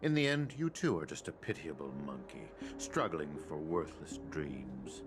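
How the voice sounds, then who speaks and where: An actor playing a cold, condescending monkey professor villain, in a video game. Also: male voice, very deep voice, sing-song, a little slow, exaggerated voice. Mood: contemptuous. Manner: condescending, menacing. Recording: roomy game audio with loud music.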